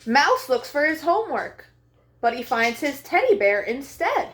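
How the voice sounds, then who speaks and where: A woman hosting a children's storytime, speaking in simple, deliberate phrases meant for young listeners. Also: sits high, sing-song, fairly bright tone. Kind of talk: read-aloud and talk addressed to young children.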